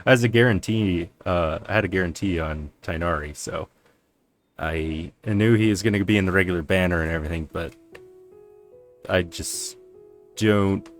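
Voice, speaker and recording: A man with a low voice streams a game. He chats casually and rambles informally, with faint music behind him. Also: moderate pace. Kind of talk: casual game commentary, chatting.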